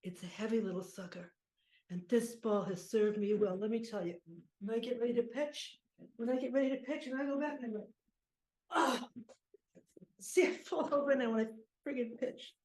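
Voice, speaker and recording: A woman playing a cranky, sentimental aging ex-baseball pitcher with an aching back, rambling and muttering in an improvised monologue. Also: fairly even intonation, normal speed, a little mellow, close to an everyday voice. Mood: fond, muttering. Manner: rambling, muttering, groaning, talks fondly to objects. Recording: echoey room.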